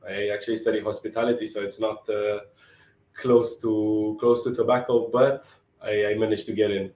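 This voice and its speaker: Young man from Romania, low-pitched voice. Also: flat delivery, normal speed, darkish tone, roomy sound, a little hiss or hum, poor audio. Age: 20s. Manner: thoughtful, repeats words as he searches for them. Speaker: intern interviewee. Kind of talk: casual interview answers.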